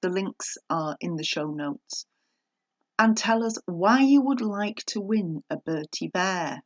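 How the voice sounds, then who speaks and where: A woman's voice: fairly low pitch, expressive, takes it slowly, lo-fi sound. A middle-aged woman hosting a podcast, speaking in a chatty presenting style. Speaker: podcast host.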